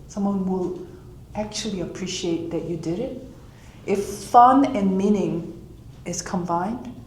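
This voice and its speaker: A woman's voice: mid-range voice, darkish tone, roomy sound, slight background noise. A woman speaking English with a Korean accent, giving a live author talk and telling personal stories in a reflective way, sometimes acting out her own inner voice.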